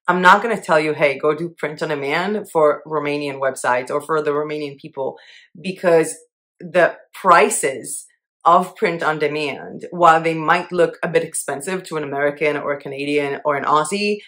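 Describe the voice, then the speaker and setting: Low-voiced chatty woman vlogger. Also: a bit bright. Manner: chatty, upbeat, laughs at herself. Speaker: channel host. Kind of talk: casual monthly goals update to camera.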